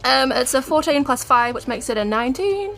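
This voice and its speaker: A woman's voice: high-pitched, lively intonation, a little fast, vocal fry, a bit bright. A woman chatting casually as a player in a tabletop role-playing game. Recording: music behind the voice. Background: background music.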